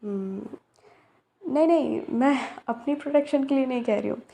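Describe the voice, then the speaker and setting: Young woman, playful teasing roleplay, slightly high, soft mellow tone. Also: moderate pace, gravelly. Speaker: voice actor in a romance roleplay. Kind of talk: ASMR roleplay, talking to a silent listener.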